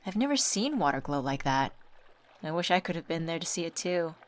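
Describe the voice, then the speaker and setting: Woman acting young female best friend, lowish pitch, playful, affectionate, teasing, conversational ASMR roleplay. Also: big pitch swings, brisk, a little hiss or hum. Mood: fond, casual. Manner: playful, teasing, familiar slangy chat.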